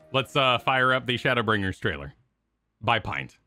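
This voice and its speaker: Bright-voiced man chatting on a reaction stream. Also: medium pitch. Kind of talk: casual chatty reaction commentary.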